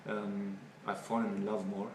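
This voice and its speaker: A man from Germany with a German accent, a native German speaker, giving halting, thoughtful interview answers and often repeating words. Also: lowish voice, completely flat delivery, a little mellow. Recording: echoey room, far from mic, noisy.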